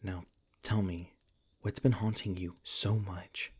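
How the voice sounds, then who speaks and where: A man playing a protective, tender monster hunter in an ASMR roleplay, speaking in a gentle, halting, earnest way with a husky, breathy voice. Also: deep voice, little pitch movement, heavily acted. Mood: tender, concerned. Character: protective, tender male monster hunter comforting his beloved. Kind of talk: ASMR roleplay, soft intimate acting.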